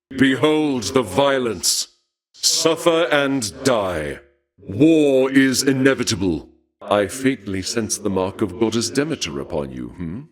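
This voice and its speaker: An actor playing a suave, courtly and bloodthirsty god of war in a video game, speaking in a formal, archaic, measured way with polite menace. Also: male voice, lowish voice. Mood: commanding, fierce, then musing, courtly. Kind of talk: theatrical scripted video game dialogue.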